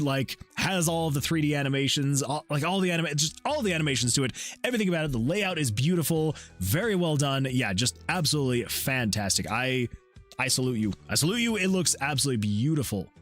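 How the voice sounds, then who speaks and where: Guy live streaming his game dev, gushing and repeating himself, kinda high voice. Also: animated, fairly quick, fairly bright tone, faint music behind. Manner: effusive, rambling, repeats himself. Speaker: indie game developer streaming. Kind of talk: casual livestream chat.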